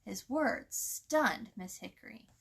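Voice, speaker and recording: Bright-voiced woman reading a children's story. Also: a little high, animated, slow pace, gravelly, husky. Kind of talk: expressive storybook narration.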